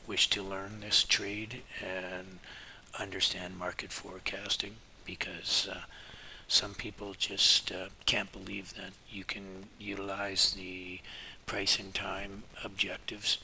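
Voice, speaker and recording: Low, gravelly, hoarse-voiced man. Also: slight background noise, low-quality recording. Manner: relaxed and meandering, many filler pauses. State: hoarse, scratchy. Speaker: market forecasting teacher. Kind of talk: casual spoken market update.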